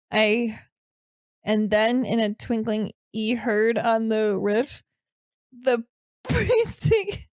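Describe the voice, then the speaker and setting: A woman playing a dim, easily flustered young male student on a comedy podcast, reading aloud haltingly and stumbling over words. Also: a little high, a little mellow, no room sound. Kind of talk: improvised comedy podcast character.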